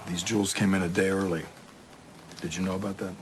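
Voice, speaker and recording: A man's voice: sits low, level tone, quick pace, a little hiss or hum, lightly acted. An actor playing a terse, dry, hard-boiled male police detective in an audio drama. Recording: prominent music underneath.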